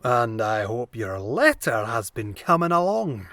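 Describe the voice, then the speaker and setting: An actor playing a pirate captain in an audio drama, courteous and unhurried with quiet menace underneath. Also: male voice, medium pitch, very animated, slight background noise. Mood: veiled pressure. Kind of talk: fullcast audio drama acting.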